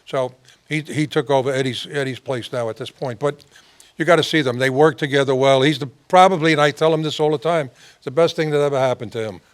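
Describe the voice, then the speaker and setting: A man's voice: medium pitch, fast talker, clean tone. A man hosting a casual interview, repeating himself and laughing often. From New York. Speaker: host.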